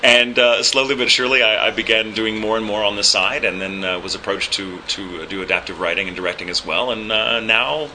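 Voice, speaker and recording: A man's voice: fairly low pitch, fairly even intonation, pushed and bright, slight background noise, poor audio. A man from Texas with a neutral American accent tinged with a proper British lilt, speaking articulately and thoughtfully in an interview, with frequent fillers. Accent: neutral American with a slight proper British lilt. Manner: articulate, measured, with frequent uh fillers and small restarts. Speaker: voice actor and ADR director. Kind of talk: reflective interview storytelling.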